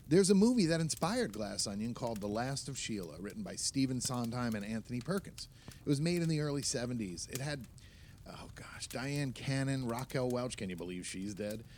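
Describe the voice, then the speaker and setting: Animated, opinionated man holding forth as an actor. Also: mid-range voice, fairly quick, a little hiss or hum, natural voice. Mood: exasperated, nostalgic. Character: opinionated, nostalgic male film writer chatting among friends. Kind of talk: naturalistic audio play dialogue.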